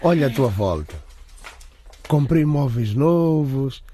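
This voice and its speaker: A man acting an evasive, boastful father who justifies himself in a radio drama, with a husky voice and a placating manner. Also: mid-range voice, animated, slow, warm tone, a little hiss or hum. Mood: proud, coaxing. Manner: stammering, placating.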